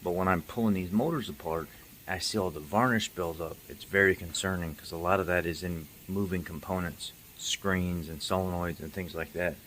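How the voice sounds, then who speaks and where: A man's voice: deep voice, a bit bright, slight background noise. A middle-aged man giving a casual, unscripted recap as a mechanic hosting a video, stressing his points by repeating them. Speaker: car maintenance video host, mechanic.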